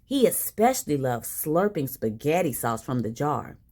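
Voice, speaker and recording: A woman reading a children's picture book aloud in an expressive style with dramatic emphasis. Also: sits low, normal speed. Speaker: storybook reader. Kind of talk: animated children's read-aloud.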